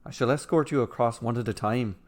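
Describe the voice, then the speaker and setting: A man voicing a proud, dutiful soldier in a fantasy story, speaking in an earnest, brisk manner. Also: medium pitch, natural voice. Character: proud, dutiful fast-running male guard soldier.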